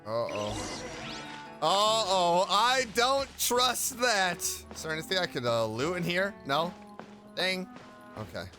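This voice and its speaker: A man doing casual reaction commentary as he plays a game, playful, expressive and exclamatory. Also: high-pitched, slow. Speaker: streamer playing a game. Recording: game music behind the voice.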